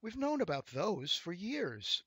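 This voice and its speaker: A man's voice: high-pitched, big pitch swings, unhurried, pushed and bright, husky, dry recording, pitched above the performer's own voice, exaggerated voice. A man voicing a minor demon in a storybook tale, a dutiful, deferential clerk who explains things to his master. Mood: explaining.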